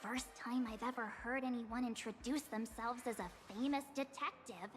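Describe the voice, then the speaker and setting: An actress playing a cheerful, curious young woman in a video game, bright and playful. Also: on the high side, fairly even intonation, normal speed, close to an everyday voice. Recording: game audio with clear music.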